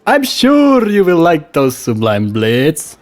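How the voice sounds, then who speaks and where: A man voicing a sly, smooth-talking weapons trader with a put-on West Frankish accent. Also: on the high side, wildly swooping pitch, slow, pitched above the performer's own voice, full character voice. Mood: coaxing. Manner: coaxing, salesman-like.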